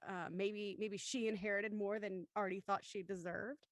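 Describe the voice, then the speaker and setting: A woman's voice: mid-range voice, fairly even intonation, normal speed, no echo, clean studio sound. A woman hosting a live online show in a chatty, encouraging way. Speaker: host and narrator.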